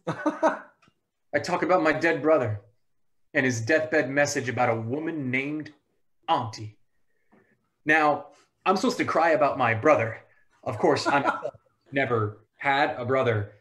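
A man's voice: medium pitch, a bit bright. Guy doing a flustered earnest stage actor, rambly and melodramatic, voice goes all over the place. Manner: rambling, self-conscious, melodramatic. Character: earnest, bewildered male actor narrating his own melodrama. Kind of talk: parody stage acting.

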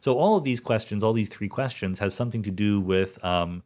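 A man's voice: fairly low pitch, a little fast, a little mellow. A man hosting a podcast, talking through listener questions in a casual, explanatory monologue with conversational check-ins and plain, informal wording. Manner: conversational, often checking with "right?".

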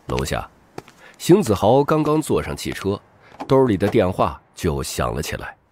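A man's voice: low-pitched, huge pitch swings, moderate pace, darkish tone, a little hiss or hum. A man narrating an audiobook as a dramatic scripted story.

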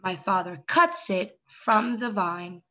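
A woman's voice: mid-range voice, animated, slow pace, bright, forceful tone, slight background noise. A young adult woman hosting story time, reading a picture book expressively and speaking directly to young children.

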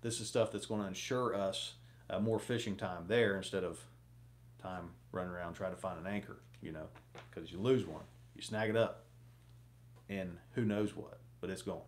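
A man's voice: low voice, moderate pace. A man in his thirties talking casually to camera from a small home office, rambling with many fillers while giving fishing advice. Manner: rambling, lots of fillers, gestures a lot. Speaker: fishing vlogger.

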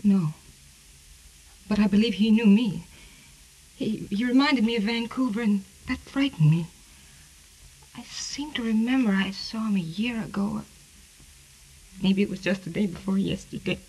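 A frightened, worn-out woman in a 1940s radio drama. Her voice is breathy and husky at a medium pitch, hesitant and pleading, as someone lost without her memory. The recording is an old radio broadcast. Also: normal speed, long pauses, darkish tone, low-quality recording. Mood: confused.

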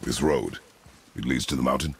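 A man playing a stern, battle-hardened warrior father in a video game, gravelly, terse and commanding. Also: a bass voice, moderate pace, fairly bright tone, full character voice.

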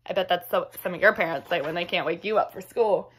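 A woman's voice: fairly low pitch, fast, a bit bright, husky, low-quality recording. A young woman reads a children's picture book aloud expressively and emphatically, with chatty asides. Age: young adult. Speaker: read-aloud storyteller. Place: small indoor room at home.

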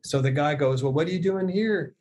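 A man's voice: a little high, a little fast. A middle-aged man from Canada telling casual stories on a video call, acting out bits of dialogue as he goes. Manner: animated storyteller who acts out dialogue. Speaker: guest, music producer and audio mixer. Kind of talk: casual anecdotal storytelling. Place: indoor room on a video call.

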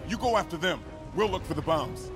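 An actor playing a dutiful police officer in a video game, plain and businesslike. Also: male voice, a little high, expressive, a little fast, over music. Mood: focused. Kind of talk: video game cutscene acting.

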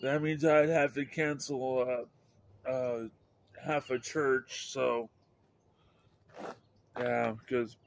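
Slow, halting middle-aged man vlogging outdoors. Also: mid-range voice, fairly even intonation, creaky. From Idaho, USA. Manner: halting, repeats and restarts words, upbeat. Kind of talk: rambling casual vlog. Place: outdoors by a house under overcast sky. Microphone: handheld phone camera at arm's length.

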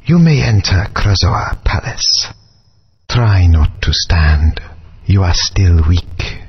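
An actor playing a solemn ancient alien guardian spirit in a video game, speaking in slow, grave, whispery pronouncements. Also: male voice, deep voice, sing-song, heavily acted. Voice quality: husky, whispery. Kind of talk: game voice acting. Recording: dull lo-fi game audio.

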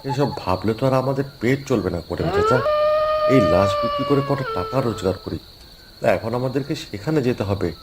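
Man as greedy cartoon grave robber, bossy, lively pitch swings. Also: normal speed, very noisy. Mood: insistent. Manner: dismissive, bossy.

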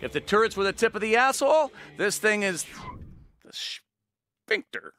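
A man's voice: high-pitched, very animated, moderate pace, pushed and bright, slight background noise, heavily acted. A man voicing a cowardly, rambling young body double in a comic video game, nervous and sarcastic. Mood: exasperated. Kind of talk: animated comic game cutscene acting. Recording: music behind the voice.

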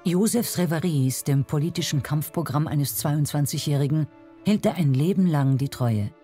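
A woman's voice: deep voice, normal speed, dark tone, faint music behind. A woman reading scripted narration as a documentary narrator.

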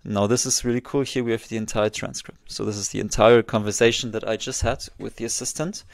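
A man in his twenties presents a software tutorial in a casual way. His voice is on the low side with plenty of creak. It is recorded close and dry at a desk microphone. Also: fairly even intonation, fairly quick, no room sound. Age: 20s. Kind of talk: casual screen-share walkthrough.

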